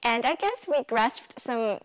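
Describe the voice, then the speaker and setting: A woman's voice: fairly high pitch, expressive, slow pace. A woman from China, hosting an interview and encouraging her guest with frequent agreement. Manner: encouraging, backchannels often. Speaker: interviewer host. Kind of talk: casual interview.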